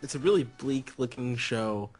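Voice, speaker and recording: A man's voice: medium pitch, moderate pace, a little mellow, faint music behind. A man chatting casually as a gaming co-host, blunt and opinionated. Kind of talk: casual chat.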